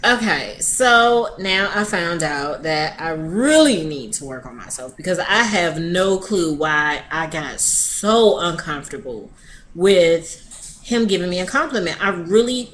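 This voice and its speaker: A woman's voice: on the low side, lively intonation, measured pace, fairly bright tone. Hesitant woman in her thirties. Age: 30s. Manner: hesitant, candid, restarts sentences. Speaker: vlogger. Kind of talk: personal video diary.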